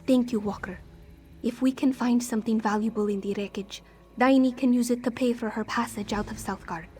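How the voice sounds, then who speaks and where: A woman's voice: a little high, fairly even intonation, brisk, dark tone, close to an everyday voice. A woman voicing an anxious, street-hardened female cat-folk thief in a fantasy game, defensive and speaking in formal, contraction-free phrasing. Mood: grateful, hopeful. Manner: refers to herself in third person, formal contraction-free phrasing. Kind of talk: fantasy game character dialogue.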